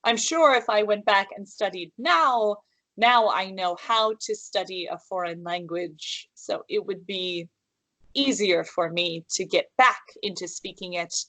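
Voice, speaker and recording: A woman from the United States, an English teacher, speaks slowly and deliberately over a video call. Her pitch sits in the middle range, and the sound is dry and close. She phrases things carefully, often restarting and restating. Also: bright, forceful tone, no room sound, poor audio. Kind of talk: interview answers. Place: room at home with calligraphy on the wall.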